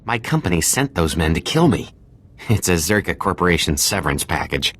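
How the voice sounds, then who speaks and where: An actor playing a timid, panicky accountant on the run in a video game, shaken, breathless and stammering. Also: male voice, deep voice, very animated, brisk, a bit bright, heavily acted. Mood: shaken, nervous. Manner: nervous, stammering. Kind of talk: video game cutscene acting.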